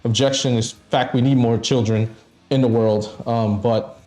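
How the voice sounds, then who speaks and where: A man's voice: medium pitch, fairly even intonation, normal speed, dark tone. Blunt swearing man in his fifties. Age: 50s. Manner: blunt, frequent swearing and laughing. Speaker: stream co-host. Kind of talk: casual live-stream chat.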